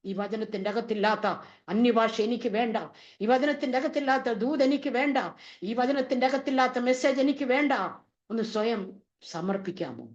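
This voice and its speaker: Female preacher, fervent and repetitive, slightly high voice, muffled lo-fi recording. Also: normal speed, a little mellow. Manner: impassioned, repeats rhetorical questions. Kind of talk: sermon. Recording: dull lo-fi recording.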